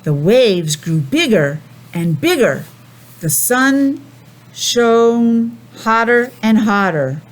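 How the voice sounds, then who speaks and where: A woman's voice: a little high, sing-song, extremely slow. A woman teacher reading a picture book to young children, patiently asking questions and explaining things simply. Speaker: preschool teacher. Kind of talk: children's read-aloud with explanations.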